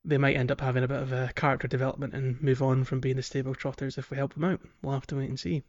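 A man's voice: medium pitch, level tone, rapid-fire, lo-fi sound. A man co-hosting a gaming podcast in casual chat, recapping things in a chatty, descriptive way. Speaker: podcast host.

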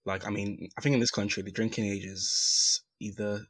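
A man co-hosting a casual podcast, relaxed and teasing. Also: lowish voice, moderate pace, husky, no room sound. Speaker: podcast co-host. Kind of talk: casual chat.

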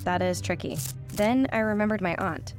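An actress playing a cocky, wisecracking young woman engineer in an audio drama, sassy and brisk in explaining. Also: medium pitch, music in the background, lightly acted. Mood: matter-of-fact, teasing.

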